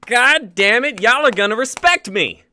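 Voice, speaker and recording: A man's voice: extremely high pitch, animated, moderate pace, bright, forceful tone, full character voice. A man voicing Ringo, a cocky outlaw who swears and drawls.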